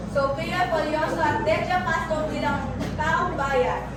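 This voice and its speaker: A woman's voice: sits high, steady tone, unhurried, heavy background noise. A woman reading out a scripted announcement for a stage play cast. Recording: echoey, distant, loud music over voice.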